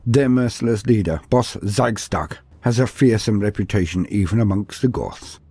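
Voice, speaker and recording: A man's voice: on the low side, normal speed, dark tone, slightly rough, no room sound. A man delivering dramatic scripted narration of fantasy lore with a theatrical storytelling manner.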